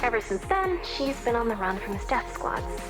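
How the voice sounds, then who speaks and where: Bossy sci-fi game officer, sarcastic, blunt, fairly high voice, music going behind. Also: female voice, sing-song, normal speed. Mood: businesslike. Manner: sardonic, blunt, casual. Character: bossy, wry, no-nonsense female mission officer. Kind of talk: scripted video game dialogue.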